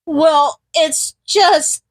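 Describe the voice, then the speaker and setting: A woman voicing an excitable, foul-mouthed young man who talks emphatically, in a fan fiction audio reading. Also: high-pitched, extremely slow, no echo, pitched above the performer's own voice. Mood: nervous, hopeful. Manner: indignant, emphatic, cursing, nervous stammering.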